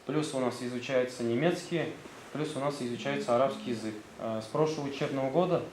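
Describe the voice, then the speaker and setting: A man's voice: mid-range voice, brisk, darkish tone. Man, Tatar accent, school director presentation, hesitant with fillers, roomy echo, faint background noise. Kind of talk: presentation to visiting officials.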